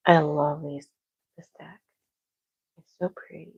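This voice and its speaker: A soft-spoken woman reading tarot on a casual livestream at home, talking quietly to herself. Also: low-pitched, little pitch movement, slow, vocal fry, slightly rough, no echo, lo-fi sound. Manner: talks to herself, quiet and musing. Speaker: tarot reader. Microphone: clip-on microphone.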